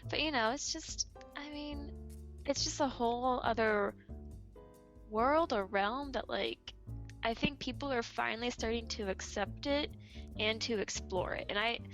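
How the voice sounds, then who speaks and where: A woman's voice: fairly high pitch, measured pace, fairly bright tone, soft background music. A woman as a podcast guest talking casually on a call, with light laughs and many fillers.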